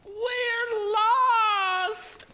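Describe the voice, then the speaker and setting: A fretful young boy played by a woman in a melodramatic radio play. The voice is high and piercingly bright, with very slow, drawn-out words and faint noise behind. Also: fairly even intonation, full character voice. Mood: panicked. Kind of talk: student radio play acting.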